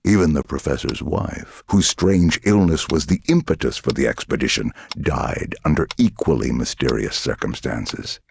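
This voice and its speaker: An actor playing a menacing, condescending male government interrogator in a dramatic video game, speaking deliberately. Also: a little hiss or hum. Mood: cold, insinuating. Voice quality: deep, gravelly.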